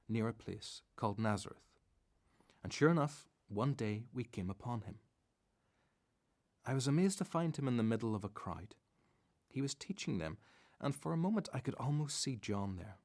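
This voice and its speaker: A man's voice: medium pitch, a little mellow. An actor playing a reflective disciple who narrates his story in first person for a radio play.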